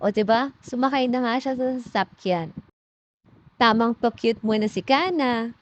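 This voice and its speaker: A woman's voice: on the high side, measured pace, lo-fi sound. A young Filipino woman with a chatty, informal manner, narrating a casual vlog as a voice-over. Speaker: mom vlogger.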